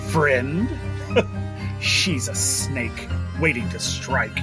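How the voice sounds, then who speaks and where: A sly, sneering man played by an actor makes accusations in an audio drama. His delivery is slow and mocking, with big swings in pitch and faint music behind. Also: animated. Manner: mocking, insinuating.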